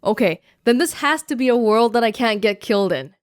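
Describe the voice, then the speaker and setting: A woman's voice: on the high side, lively intonation, fairly quick, clean tone, dry recording. A young woman from Canada answering viewer questions in a casual vlog, chatty and self-mocking, speaking close to the microphone. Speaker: YouTuber. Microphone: close studio mic.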